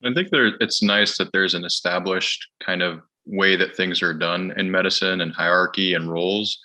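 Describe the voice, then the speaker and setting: A very deep male voice with a sharp, forceful edge gives calm explanations on a panel. His delivery is flat and steady. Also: moderate pace. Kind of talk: panel discussion.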